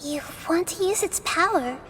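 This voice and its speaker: A woman voicing a young, gentle goddess who speaks softly and reassuringly. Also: very high-pitched, animated, normal speed, slight background noise. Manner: soft, kind, reassuring. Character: young gentle female goddess, calm, thoughtful. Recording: game music behind.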